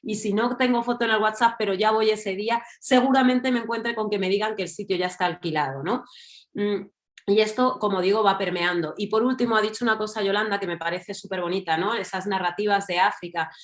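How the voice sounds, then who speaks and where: A woman's voice: medium pitch, fairly quick. Reflective woman with a Madrid accent. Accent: Madrid Spanish. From Spain. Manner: reflective, thinking aloud with fillers and tag questions. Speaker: panelist writer. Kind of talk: online panel talk.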